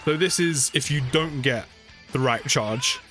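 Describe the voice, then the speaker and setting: A man's voice: fairly high pitch, unhurried. A man giving casual game update commentary in a chatty way, often repeating phrases for emphasis. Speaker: gaming video creator. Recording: music behind the voice. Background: music.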